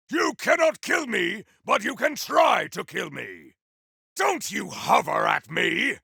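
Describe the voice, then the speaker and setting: A man voicing a righteous, grandiose game warrior on a holy quest, with a gravelly, forceful voice and archaic, theatrical declamation. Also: very high voice, dry recording, studio quality, exaggerated voice. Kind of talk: video game combat voice lines.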